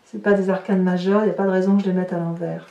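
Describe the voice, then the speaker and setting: A woman's voice: lowish voice, little pitch movement, rapid-fire, vocal fry, mellow, lo-fi sound. Woman, chatty tarot reader, thinking aloud, echoey room, away from the mic. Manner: chatty, thinking aloud while handling cards. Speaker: tarot reader and channel host. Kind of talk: informal tarot card reading for viewers. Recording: roomy, distant mic with light noise.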